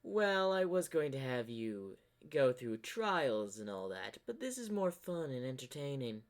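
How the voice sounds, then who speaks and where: A man's voice: medium pitch, big pitch swings, a little slow. A man voicing an ancient corrupted zebra spirit from a fan-made fantasy cartoon, smug and sly, with a theatrical, teasing drawl. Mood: gleeful, taunting.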